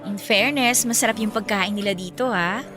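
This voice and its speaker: A woman's voice: medium pitch, normal speed, over music, lightly acted. An actress playing a playful, affectionate young woman in a Filipino radio romance drama, with a teasing, conversational way of talking. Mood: teasing, curious.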